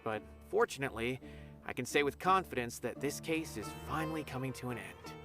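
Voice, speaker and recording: A man's voice: mid-range voice, animated, a little fast, lightly acted. An actor playing a friendly, dutiful young academy officer in a game, earnest and polite as he reports news. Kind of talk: game dialogue. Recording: game audio with loud music behind.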